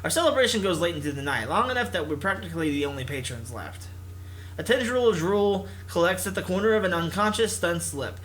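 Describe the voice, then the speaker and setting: A man's voice: high voice, sing-song, fairly quick, fairly bright tone, a little hiss or hum, rough recording. A man hosting a gaming video, reading narration expressively and mixing in casual commentary.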